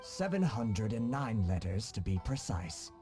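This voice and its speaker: A man voicing a genial, wily middle-aged nobleman with a courtly, unhurried manner in a video game cutscene. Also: fairly low pitch, big pitch swings, music in the background. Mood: sly, demanding. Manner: courtly, unhurried, slyly affable.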